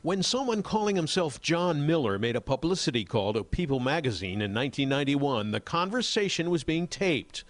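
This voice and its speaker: A man's voice: fairly high pitch, sing-song, a little fast, hardly any pauses. An American man working as a television political reporter, delivering a news report. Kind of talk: scripted news report with some live studio talk.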